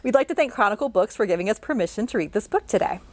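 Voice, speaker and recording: A woman's voice: mid-range voice, expressive, racing. A children's librarian, a woman, reading a picture book aloud and asking young listeners questions along the way.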